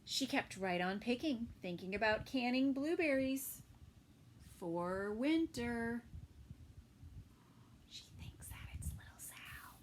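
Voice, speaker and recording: A woman teacher reads a picture book aloud to small children, slowly and with a lot of up-and-down expression. She drops into gentle asides and soft whispers between passages. A faint hum of room noise runs in the background. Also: on the high side, lively intonation. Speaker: preschool teacher.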